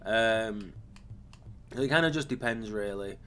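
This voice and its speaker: A man hosting a gaming channel, casually talking through his game build. His voice is slightly raspy from a lingering cough, and he rambles, with frequent ums and self-corrections. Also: fairly low pitch, takes it slowly, sharp tone, a little hiss or hum.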